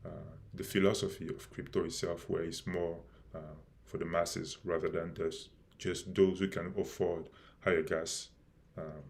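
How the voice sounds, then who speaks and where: Low gravelly guy hosting a live stream, lots of uhs and restarts, losing his voice some. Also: level tone, a little mellow. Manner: halting, many fillers and restarts. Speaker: crypto project host.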